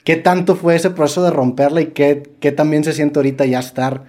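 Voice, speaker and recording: A man's voice: fairly high pitch, level tone, fairly quick, mellow. A Mexican man hosting a podcast, asking long, chatty, rambling questions. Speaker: podcast host. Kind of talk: casual interview questions.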